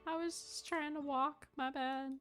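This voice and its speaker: A woman's voice: high voice, fairly even intonation, unhurried, fairly bright tone. A woman game streamer talking casually and informally to her viewers while she plays. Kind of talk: casual livestream chat while gaming. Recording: game music behind the voice. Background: game music.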